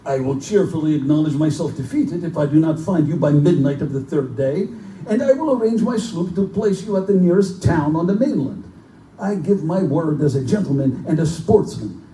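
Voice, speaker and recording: A man's voice: on the high side, expressive, moderate pace, mellow, natural voice. A man playing a genteel, pompous general who hunts men for sport, in a live theatrical horror drama. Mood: gleeful, courtly. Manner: urbane, pompous, condescending. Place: live performance in a bookstore. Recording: distant, echoey live room recording with noise.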